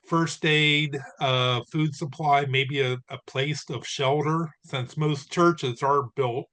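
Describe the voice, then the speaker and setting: A man's voice: on the high side, steady tone, takes it slowly, a bit bright. Earnest man asking a rambling question. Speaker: town hall attendee asking a question. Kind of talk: casual question.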